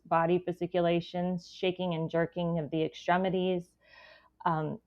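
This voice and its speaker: A woman's voice: lowish voice, a little mellow. A woman speaking as a guest medical expert, giving a clinical explanation over a slightly lo-fi connection.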